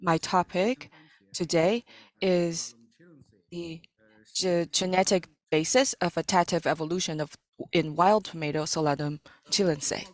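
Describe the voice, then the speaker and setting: Slow, halting woman interpreting a talk. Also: on the low side. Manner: halting, with frequent self-corrections and pauses. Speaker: simultaneous interpreter. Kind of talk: live interpretation of an academic talk.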